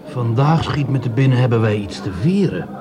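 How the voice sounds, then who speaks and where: An actor playing a down-to-earth, sceptical jobless bricklayer who likes food and drink, blunt and plain-spoken, in a radio play. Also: male voice, medium pitch, animated, moderate pace, close to an everyday voice. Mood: ceremonious. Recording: café crowd behind the voice.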